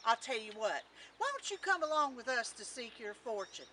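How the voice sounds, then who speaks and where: A woman's voice: fairly high pitch, sing-song, moderate pace, bright, forceful tone, far from the mic, slight background noise. A woman voicing a friendly, reassuring lad in a storybook folktale, in folksy rural dialect. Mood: encouraging.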